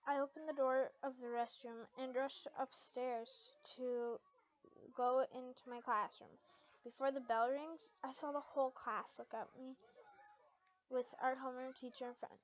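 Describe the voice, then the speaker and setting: A woman's voice: high voice, steady tone, measured pace, highs cut off. A woman reading a story aloud in a dramatic amateur style, sometimes stumbling over words and correcting herself. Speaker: fan fiction reader.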